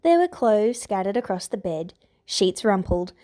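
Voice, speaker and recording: A woman with a medium-pitched voice reads fan fiction as an audiobook narrator. Her delivery is scripted storytelling. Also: sing-song, unhurried, mellow. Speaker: podfic author and narrator.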